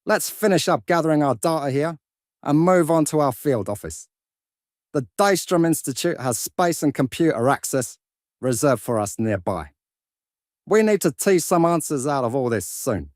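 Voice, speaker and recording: Man as measured religious brother, explanatory and formal, sci-fi audio drama, very dry studio sound. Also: on the high side, expressive, darkish tone, no echo, close to an everyday voice. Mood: pondering. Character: measured religious brother investigating a scientific mystery.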